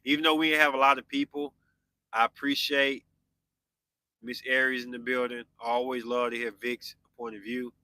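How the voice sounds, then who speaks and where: A man's voice: medium pitch, flat delivery, moderate pace, bright, forceful tone, dry recording, poor audio. A man in his forties hosting a podcast, chatting casually with live viewers and answering their comments. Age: 40s. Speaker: podcast host.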